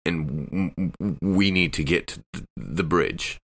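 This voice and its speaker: Man playing a deep-voiced, anxious stammering crewman. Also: measured pace, a bit bright, exaggerated voice. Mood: urgent, anxious. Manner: stammering, urgent, repetitive. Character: anxious, bewildered, bossy male spaceship crewman.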